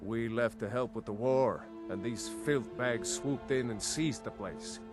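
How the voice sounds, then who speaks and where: Man acting an aggrieved fantasy game fighter, loud music. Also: mid-range voice, unhurried, natural voice. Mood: bitter, urgent.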